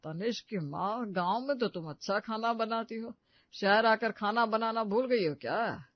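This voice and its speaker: A woman voicing an older father who asks questions slowly and gruffly. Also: on the high side, no room sound, muffled recording. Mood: questioning.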